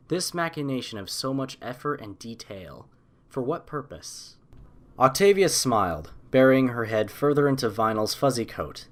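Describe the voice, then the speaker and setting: Man reading a story expressively. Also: medium pitch. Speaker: audiobook narrator. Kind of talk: scripted story narration.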